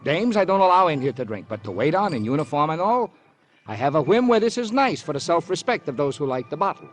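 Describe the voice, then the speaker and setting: An actor playing a fussy, self-righteous bar owner in an old radio crime drama, pompous and long-winded, with formal turns of phrase. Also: male voice, a little high, big pitch swings, fast talker, soft background music. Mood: self-righteous, insistent. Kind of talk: 1950s American radio crime drama acting.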